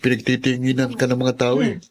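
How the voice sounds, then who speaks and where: Guy acting careful young dude in a radio drama, kinda scolding, medium pitch. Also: completely flat delivery, brisk, a crowd in the background, natural voice. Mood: embarrassed, chiding. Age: young adult. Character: cautious, easily embarrassed young man, worried grandson.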